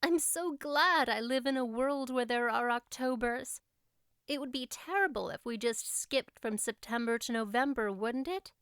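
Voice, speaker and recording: Audiobook role: woman as exuberant young girl, high clear voice, gushing and dreamy. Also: moderate pace, fairly bright tone, dry recording, studio quality. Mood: excited, delighted. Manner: gushing, breathless enthusiasm. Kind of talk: audiobook character voice.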